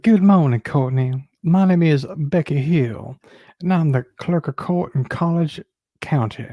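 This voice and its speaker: Soft-toned higher-pitched man reading aloud. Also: measured pace, no echo. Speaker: panellist reading emails aloud. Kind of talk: reading documents aloud on a casual live show.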